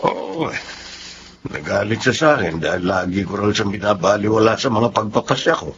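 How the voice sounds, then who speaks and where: A man's voice: fairly low pitch, normal speed, lightly acted. A man acting a stubborn, troubled husband in a Filipino radio drama, with a curt, defensive manner. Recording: noisy, dull radio-drama audio.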